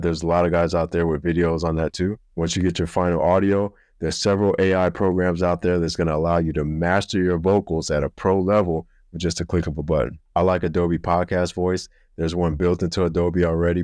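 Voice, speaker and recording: Deep-voiced man giving practical how-to tips. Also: darkish tone, no echo, studio quality. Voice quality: deep voice, deliberately slow and clear. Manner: plain, practical, matter-of-fact advice. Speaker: video creator giving a how-to. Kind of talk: instructional talk to camera.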